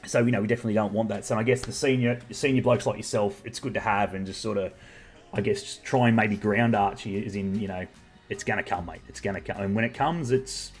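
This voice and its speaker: A man's voice: fairly low pitch, steady tone, fast talker, faint music behind. An Australian man hosting a podcast, talking in a rambling, chatty way with many fillers. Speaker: podcast host. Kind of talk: casual chat.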